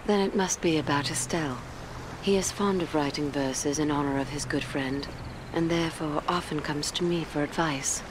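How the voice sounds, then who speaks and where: An actress playing a serene, courteous young elf noblewoman in a fantasy game, speaking in formal, measured phrases. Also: low voice, noisy background, natural voice. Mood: gentle, gracious. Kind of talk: scripted fantasy game dialogue. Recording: loud music behind the voice.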